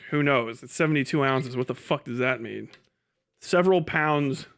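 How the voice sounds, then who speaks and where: A man's voice: medium pitch, a little slow, creaky, fairly bright tone. A man hosting a casual livestream, rambling bluntly with lots of profanity and muttered asides to himself and chat. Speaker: livestream host.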